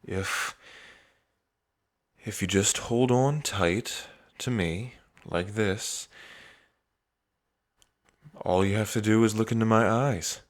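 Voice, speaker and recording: A man's voice: lowish voice, slow, long pauses. A man playing a cocky yet tender young dragon king in his twenties, speaking casually and hesitantly to the listener in an intimate ASMR roleplay. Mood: coaxing, reassuring. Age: 20s. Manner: casual, hesitant, trailing phrasing.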